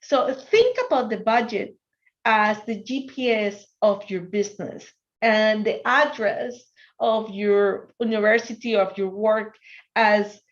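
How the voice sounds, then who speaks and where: A woman's voice: medium pitch, steady tone, takes it slowly, highs cut off. A woman from Ecuador with a Spanish accent, a native Spanish speaker, presenting a finance workshop online and often restarting her sentences. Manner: earnest, restarts sentences, asks if the audience can hear.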